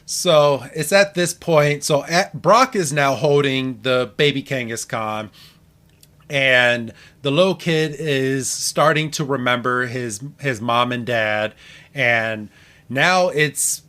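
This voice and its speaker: A man's voice: medium pitch, bright, forceful tone. A man co-hosting a podcast, casually recapping a cartoon episode and often repeating phrases as he explains. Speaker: podcast co-host.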